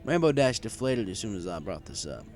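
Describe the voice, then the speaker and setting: Guy narrating a story, lowish voice that moves around a lot, wry and chatty, faint music behind. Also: very animated, brisk, darkish tone. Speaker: story reader. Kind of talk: first-person story narration with acted dialogue.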